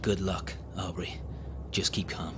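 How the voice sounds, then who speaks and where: An actor playing a concerned male travel companion in an audio drama, halting and soothing in his manner. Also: sits low, level tone, bright, forceful tone, slight background noise. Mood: reassuring. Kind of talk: scripted audio drama acting.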